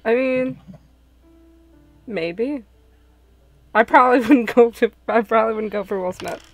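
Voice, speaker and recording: High-voiced woman chatting on stream. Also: unhurried, creaky, soft background music. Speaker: host and narrator of a group visual novel stream. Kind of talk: casual group chat over a game.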